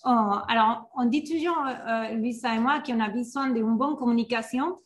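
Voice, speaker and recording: A woman with a somewhat high voice leads an online workshop as an agile coach. She pauses often, fills gaps with hesitations and restarts her sentences. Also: slight background noise. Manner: hesitant, many fillers and restarts. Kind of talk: live online workshop presentation.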